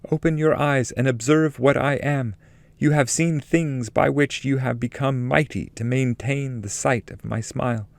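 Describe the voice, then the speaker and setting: A man's voice: mid-range voice, fairly even intonation, measured pace, creaky, no room sound, pitched above the performer's own voice. A man voicing Beatrice, a radiant, commanding heavenly woman guide, in an earnest, declamatory reading of epic poetry.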